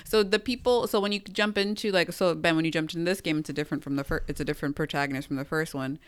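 A woman's voice: low-pitched, fairly even intonation, fast. A woman co-hosting a podcast, asking curious questions and groping for the right word. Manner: curious, searching for words. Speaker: podcast co-host. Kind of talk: casual chat.

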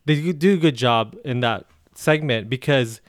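A man's voice: medium pitch, moderate pace, no echo, clean studio sound. A man co-hosting a podcast, explaining film details while thinking aloud in casual chat. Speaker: podcast co-host. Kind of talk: casual chat about films.

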